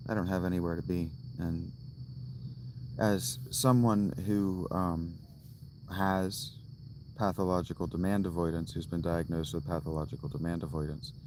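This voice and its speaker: A man in his thirties chatting on a casual livestream from inside a tent, musing slowly and restarting his thoughts as he goes. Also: deep voice, background noise. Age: 30s. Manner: slow, musing, thinks aloud with restarts. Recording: loud crickets and outdoor ambience. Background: crickets.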